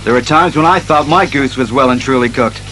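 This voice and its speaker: An actor playing an earnest, methodical masked detective hero who reasons aloud in a declamatory audio drama style. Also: male voice, medium pitch, pushed and bright, faint music behind, lightly acted, poor audio. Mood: earnest, resolute. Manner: deliberate, declamatory deduction.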